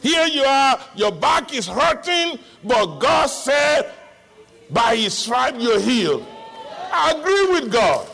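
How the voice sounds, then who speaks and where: Nigerian-accented male pastor, middle-aged, high lively voice, slow emphatic delivery that breaks into shouts. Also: vocal fry. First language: Yoruba. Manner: emphatic preaching, bursts into shouting. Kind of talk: sermon.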